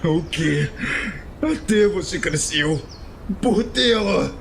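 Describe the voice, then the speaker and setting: An actor playing a young paladin turned horned tiefling, distraught, pleading and halting, in an audio drama. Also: male voice, sits high, lively intonation, gravelly, slight background noise, full character voice. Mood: shocked, disgusted. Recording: loud music behind the voice.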